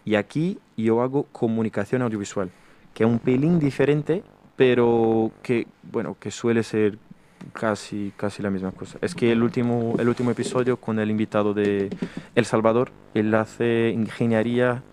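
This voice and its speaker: A man's voice: medium pitch, fairly even intonation, normal speed, a little mellow, a little hiss or hum. Young Brazilian-born man hosting casual chat. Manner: chatty, restarts his sentences. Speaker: host. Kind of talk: casual conversation.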